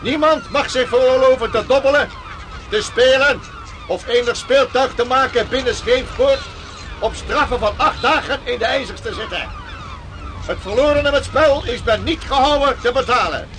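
Very high, forceful ship's officer. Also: male voice, normal speed, some chatter behind, slight background noise. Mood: stern, official. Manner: formal, barking delivery. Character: stern, commanding ship's officer of sailing days. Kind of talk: radio drama acting.

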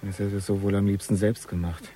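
A man's voice: lowish voice, level tone, fairly quick, dark tone, a little hiss or hum, lightly acted. A man playing a wary, sceptical criminal accomplice in a radio crime drama, uneasy and sardonic.

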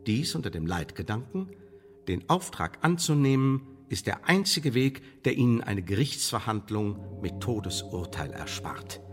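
An actor playing a coolly menacing English intelligence official in a radio play, speaking in formal, measured, condescending bureaucratic phrases. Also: male voice, medium pitch, big pitch swings, soft background music. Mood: quietly menacing. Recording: studio radio play with long reverb.

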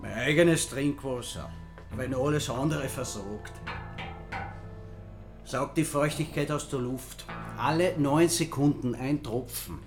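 A man's voice: medium pitch, slow, music in the background, lightly acted. A man with an Austrian accent playing a proud, self-important, eccentric survivalist in a radio play. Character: proud, eccentric male doomsday prepper, self-reliant and suspicious.

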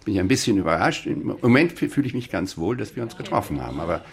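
A man's voice: on the low side, animated, fast talker. An actor playing a wry, courteous older man in an improvised radio drama, droll and self-deprecating. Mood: reluctant, candid.

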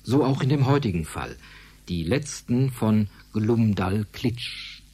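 A man's voice: lowish voice, animated, takes it slowly, a little mellow, breathy edge. A man reading a scripted introduction as a radio drama announcer. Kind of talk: scripted narration.

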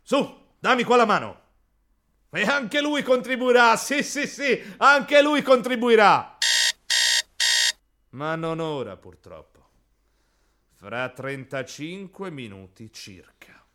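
An actor playing a crude, gleeful, bullying interrogator in a theatrical radio drama, moving between hushed menace and loud outbursts. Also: male voice, sits high, big pitch swings, takes it slowly, long pauses, heavy background noise, exaggerated voice. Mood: soothing, sinister.

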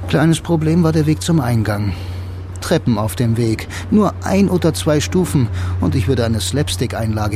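A man's voice: fairly low pitch, darkish tone, a little hiss or hum, lightly acted. An actor playing a blind bank clerk who narrates his own story in a dry, laconic, musing way for a radio drama. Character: blind male bank clerk, wry film noir loner.

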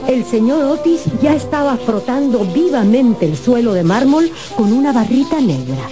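A woman narrating a scripted radio drama as an expressive, theatrical storyteller. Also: on the high side, moderate pace, music in the background.

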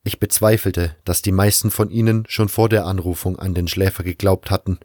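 A man's voice: low-pitched, flat delivery, brisk, a little mellow, no room sound, clean studio sound. A man reading scripted first-person narration for an audio drama.

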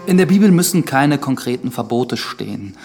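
An actor playing an earnest, pious priest with doubts in a German radio drama, measured and reflective, with a creaky voice. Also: male voice, mid-range voice, lively intonation, a little mellow, faint music behind. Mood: firm, measured.

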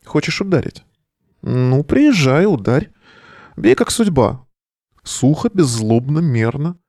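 A man's voice: medium pitch, expressive, slow, a little mellow, dry recording, clean studio sound. A male actor playing a weary, sardonic bohemian in an audio play, delivering a verse monologue over the phone in an ironic, declamatory way. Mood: bitter, ironic.

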